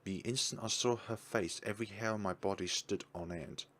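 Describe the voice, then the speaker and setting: A man's voice: lowish voice, fairly quick, a bit bright, a little hiss or hum. A man reading a visual novel's narration aloud in a tense, anxious manner.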